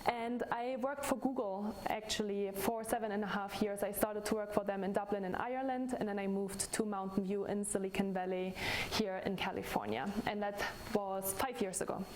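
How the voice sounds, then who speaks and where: A woman's voice: medium pitch, steady tone, normal speed, darkish tone, slight background noise. A woman in her thirties from Germany with a German accent, giving a chatty live talk to an audience as a stress management coach. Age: 30s. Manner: friendly, chatty, with restarts. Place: room with audience.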